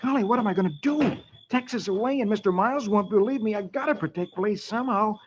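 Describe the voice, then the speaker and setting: A man voicing an earnest, excitable little farm boy in a vintage children's radio reading. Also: high-pitched, fast, pitched above the performer's own voice, lightly acted. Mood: worried. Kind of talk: 1940s children's radio comic-strip reading. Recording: muffled, noisy vintage radio recording.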